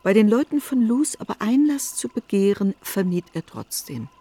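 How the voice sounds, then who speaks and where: An actress as a grave storyteller, relating a biblical tale with deliberate literary delivery in a radio drama. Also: female voice, mid-range voice, very animated, dark tone, dry recording. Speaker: narrator.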